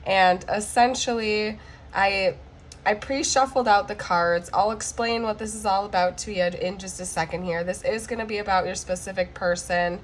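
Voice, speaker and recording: Hoarse, flu-cracked woman reading tarot. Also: medium pitch, fairly even intonation, moderate pace, a little hiss or hum. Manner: chatty, checks in with frequent "okay?". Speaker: tarot card reader. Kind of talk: casual tarot reading to camera.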